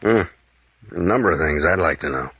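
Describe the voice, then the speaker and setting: An American actor playing a bold, sardonic adventurer captain in an old-time radio serial, with needling, probing delivery. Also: male voice, sits low, lively intonation, a little fast, husky, heavily acted. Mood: musing. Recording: muffled, echoey vintage radio recording.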